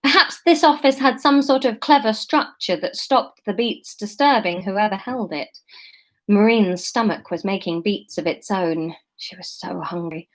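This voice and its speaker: A woman's voice: medium pitch, unhurried. Woman reading her own story aloud. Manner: chatty, breaks off to comment and correct herself.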